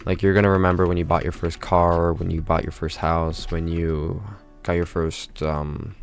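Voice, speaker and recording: Congested male vlogger, very low voice, rambling casual monologue full of "right?" tags, faint music under him. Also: flat delivery, normal speed. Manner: rambling, tags nearly every phrase with "right?".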